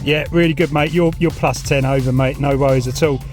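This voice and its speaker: A man's voice: medium pitch, steady tone, fairly quick, over loud music. A man with a southern English accent chatting casually and matily as a CB radio operator, recorded inside a vehicle. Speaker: CB radio operator filming a vlog.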